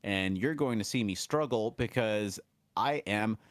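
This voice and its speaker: A man's voice: lowish voice, measured pace, no room sound. A man co-hosting a casual podcast as the learner, joking and self-deprecating about his skills. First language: English.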